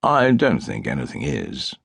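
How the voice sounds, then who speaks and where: A man's voice: very deep voice, wildly swooping pitch, unhurried, creaky, slightly rough, no room sound, studio quality, voice pushed down, heavily acted. A man voicing a middle-aged police commissario who feigns illness, polite, wary and hesitant, in an audiobook. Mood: calm, resigned. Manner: hesitant, understated.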